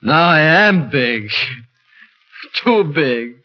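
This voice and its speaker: An actor playing a tormented murderer, a former midget grown into a giant, in intense, melodramatic 1940s radio horror style. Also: male voice, on the high side, lively intonation, very slow. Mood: hysterical, bitter. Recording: dull 1940s radio recording, faint music.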